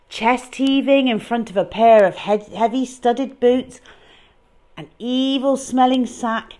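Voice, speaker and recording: A woman storyteller reading aloud to children in a dramatic, expressive way, leaning on key words. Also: mid-range voice.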